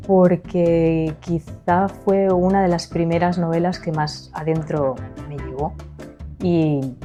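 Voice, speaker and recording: A woman with a Spanish accent from Spain answers a podcast interview in a soft, chatty tone. Her voice is mellow and sits a little low, and faint music plays in the background. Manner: soft-spoken, chatty, laughs easily. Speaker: writer, podcast guest.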